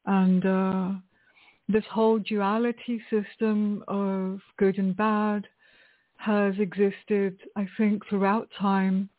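A woman from Greece speaks unhurriedly as a guest poet, her voice sitting in a middle register. She ponders her words, hesitates, and laughs softly as she goes. The sound is thin and phone-like. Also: medium pitch, little pitch movement, slow pace. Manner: thoughtful, hesitant, laughs often between phrases. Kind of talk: conversational interview. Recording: tinny phone line.

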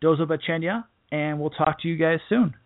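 A man hosting a sports podcast, giving casual solo game preview commentary in a halting way, restarting phrases as he goes. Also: on the high side. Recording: muffled phone-like audio.

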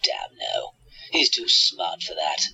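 A man playing a vain, cruel villain magician in a radio drama, gloating and scheming with theatrical, drawn-out delivery. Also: low voice, huge pitch swings, pushed and bright, breathy edge, lo-fi sound, heavily acted. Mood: sly, boastful.

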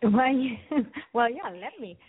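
A woman from Switzerland with a Swiss accent, a guest singer chatting casually, relaxed and laughing lightly. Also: on the high side, a little slow, breathy edge, narrow, tinny audio. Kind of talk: casual chat.

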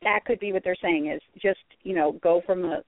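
A woman's voice: fairly low pitch, no echo. A woman appearing as a psychic medium guest on a radio show, giving spiritual advice in an earnest, reassuring way. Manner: earnest, advising, quick to reassure. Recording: tinny phone line, dry.

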